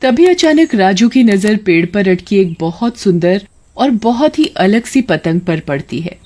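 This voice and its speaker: A woman's voice: medium pitch, lively intonation, a little slow, a little mellow. A woman narrating a scripted moral tale as a storyteller.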